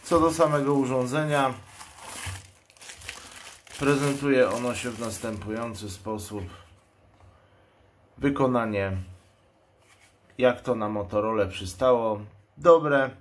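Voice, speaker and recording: A man explains a product in an unboxing commentary. He speaks slowly at a medium pitch, in a measured, matter-of-fact manner. There is clear background noise, with clicks and crinkling packaging. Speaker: product reviewer and channel host. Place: indoors at a table. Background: noise, plastic packaging crinkles and clicks.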